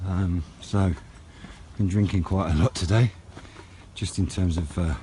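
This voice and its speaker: British male hobby vlogger, lowish voice, unhurried and low-key, recorded outside over clear background noise and vehicles. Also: fairly even intonation, warm tone. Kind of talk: casual outdoor vlog chat. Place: outdoors on wooded high ground by a road. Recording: outdoor noise with passing vehicles.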